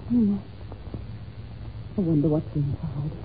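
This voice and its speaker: An actress playing a timid, well-mannered young governess in an old-time radio drama, speaking in a breathless, polite, melodramatic way. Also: female voice, sits low, animated, slow pace. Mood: fearful, brooding. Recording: muffled noisy old radio recording.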